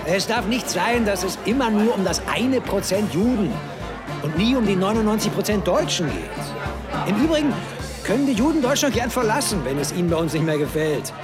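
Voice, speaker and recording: Casting: forceful, smug Nazi spokesman, radio play, very animated pitch, crowd and music behind. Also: moderate pace. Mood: emphatic, self-assured. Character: charming, self-assured male Nazi press chief and businessman. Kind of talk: radio drama acting.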